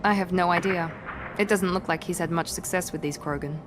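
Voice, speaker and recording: An actress playing a confident, cool and assertive combat squadmate in a video game. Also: female voice, on the low side, brisk, music in the background, lightly acted. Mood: cool, doubtful.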